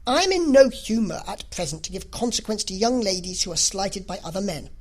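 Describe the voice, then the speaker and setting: A woman voicing a proud, cold and fastidious young gentleman in a novel reading, with a formal, disdainful manner. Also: mid-range voice, lively intonation, close to an everyday voice. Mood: cold, dismissive. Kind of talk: classic novel audiobook character reading.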